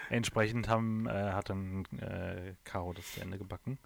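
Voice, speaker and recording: A man's voice: low voice, steady tone, a little slow, creaky, slight background noise. A man hosting a casual podcast chat among friends, leading the talk with many hesitations and fillers. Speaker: podcast host.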